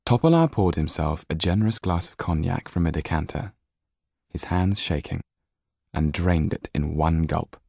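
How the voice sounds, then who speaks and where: Male audiobook narrator: low, gravelly, expressive, measured reading. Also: no room sound, clean studio sound. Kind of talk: scripted storybook narration.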